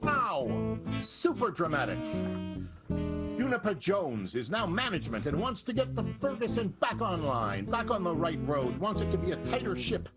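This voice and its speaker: A man's voice: sits high, sing-song, moderate pace. A man narrating an improvised radio soap, setting scenes with dramatic, comedic flair over music. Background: prominent plucked guitar music.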